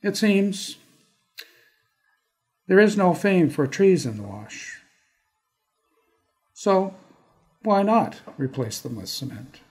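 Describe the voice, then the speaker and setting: A Canadian man speaking as a guest poet on an arts podcast in a deliberate, halting manner. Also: high-pitched, lively intonation, darkish tone. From Toronto area, Canada. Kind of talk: casual self-introduction and slow poetry reading. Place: studio.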